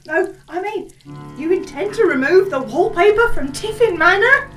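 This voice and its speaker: A woman's voice: extremely high pitch, animated, moderate pace, a bit bright, soft background music. A woman playing a fussy, evasive, deferential housekeeper in a comic gothic stage drama. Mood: uneasy, deferential. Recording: distant, echoey hall.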